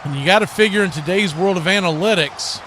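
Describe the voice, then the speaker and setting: An American man calling live football play-by-play in excitable, clipped phrases. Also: high voice, fast, faint music behind. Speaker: play-by-play announcer.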